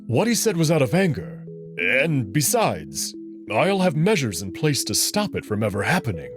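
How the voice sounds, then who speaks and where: A man's voice: medium pitch, wildly swooping pitch, moderate pace. A man voicing a confident, teasing and protective alien wolf noble in a visual novel, speaking in an assured, slightly formal way. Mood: earnest, reassuring. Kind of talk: visual novel character acting. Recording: music behind the voice.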